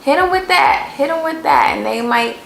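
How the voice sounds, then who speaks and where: Curious, playful woman, slightly high. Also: animated, moderate pace, creaky, a bit bright, echoey room. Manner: curious, playful, asks many questions. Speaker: co-host asking about Jamaican phrases. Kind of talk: casual couple vlog chat.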